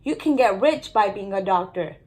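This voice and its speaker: A woman's voice: medium pitch, expressive, normal speed, darkish tone, close to an everyday voice. A woman voicing a bossy, dismissive older sister in a storybook, a young girl with a blunt, careless, know-it-all manner. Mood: matter-of-fact.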